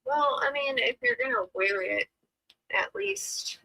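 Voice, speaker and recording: A woman with a very bright, sharp tone and a husky, gravelly edge. Her pitch swings widely as she presses with questions. She speaks insistently in a casual street exchange. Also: very animated, a little slow, low-quality recording.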